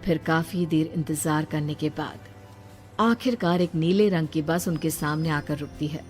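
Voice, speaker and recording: A woman's voice: deep voice, a little slow, darkish tone. A woman reading a scripted horror story as its narrator. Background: background music.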